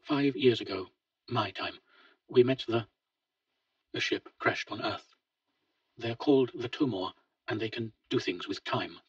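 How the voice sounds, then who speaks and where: Brief: male reader as a shaken grown son, husky, quiet, heard through a distant lo-fi mic. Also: mid-range voice, a little slow, pauses often, no echo, voice pushed up. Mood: pleading.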